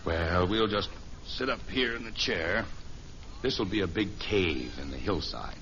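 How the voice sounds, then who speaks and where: An actor playing a kindly middle-aged American father in a 1950s radio sitcom, gentle, folksy and patient as he plays along with a child. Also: deep voice, lively intonation, a little slow, exaggerated voice. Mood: gentle, reassuring. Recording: muffled, hissy vintage radio recording.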